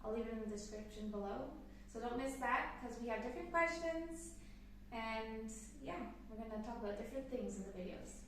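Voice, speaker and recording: A woman's voice: a little high, animated, a little fast, a bit bright, far from the mic, slight background noise. Chatty twenty-something woman, echoey room. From Canada. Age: 20s. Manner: chatty, upbeat, rambling with fillers. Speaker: channel host. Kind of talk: casual sit-down Q&A chat.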